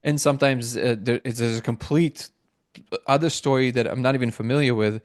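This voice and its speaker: A middle-aged man from Russia who later moved to Israel, answering reflectively as an interview guest, haltingly restarting phrases as he thinks aloud. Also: mid-range voice, fairly even intonation, no echo, clean studio sound. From born in Moscow, Russia, moved to Israel.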